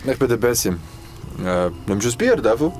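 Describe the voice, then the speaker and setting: A man's voice: medium pitch, sing-song, normal speed, a little mellow, soft background music, close to an everyday voice. A man playing a dry, teasing young friend in an audio drama. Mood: casual. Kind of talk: naturalistic audio drama dialogue.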